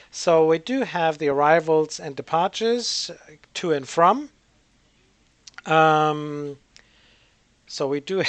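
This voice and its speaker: A man's voice: fairly high pitch, no room sound. A man giving casual gameplay commentary as the host of a gaming channel, hesitant and rambling as he thinks aloud.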